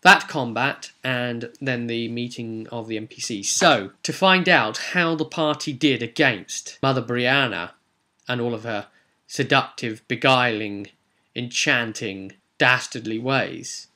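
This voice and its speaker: A man's voice: medium pitch, measured pace, sharp tone. A man hosting an informal recap of a tabletop role-playing session as game master, rambling and correcting himself, with theatrical rhetorical questions. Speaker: game master and video host.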